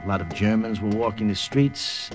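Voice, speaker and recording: An actor playing a tense American undercover agent in a radio drama, speaking in a clipped, matter-of-fact way. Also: male voice, on the low side, moderate pace, loud music behind the voice, close to an everyday voice. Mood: terse, watchful. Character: tense American undercover agent narrating his wartime mission. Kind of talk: old-time radio drama narration and dialogue.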